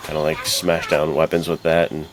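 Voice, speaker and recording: Male co-host, deep voice, slangy casual talk, noticeable noise behind him. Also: monotone, moderate pace. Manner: slangy, laid-back phrasing with "dude" and "like". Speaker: podcast co-host. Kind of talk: casual chat. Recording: clear background noise behind the voice.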